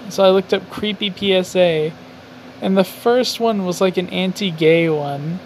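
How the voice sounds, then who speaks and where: A young man hosting a casual solo podcast from his garage, rambling, mocking himself and swearing often. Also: unhurried. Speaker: podcast host. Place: home garage. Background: faint highway traffic.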